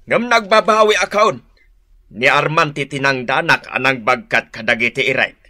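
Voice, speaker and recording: A man's voice: mid-range voice, moderate pace, bright, forceful tone. A man playing a blunt, grumbling older father-in-law in a comedy radio drama.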